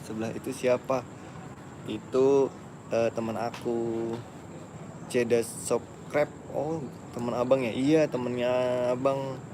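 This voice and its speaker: A man's voice: mid-range voice, flat delivery, slow, pauses often. A young man, chatting casually as he reads live comments aloud. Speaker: live streamer. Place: outdoors at a busy tennis court. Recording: noisy outdoor spot, traffic-like background.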